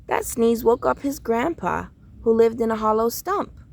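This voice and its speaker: A woman reading a children's story aloud in a deliberate, expressive storytelling way. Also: fairly high pitch, vocal fry. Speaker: storybook reader.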